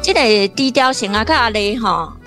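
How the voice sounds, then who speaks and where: A woman's voice: fairly high pitch, animated, moderate pace, over music. A woman with a Taiwanese accent giving conversational literary commentary in an explanatory way, like a guest academic.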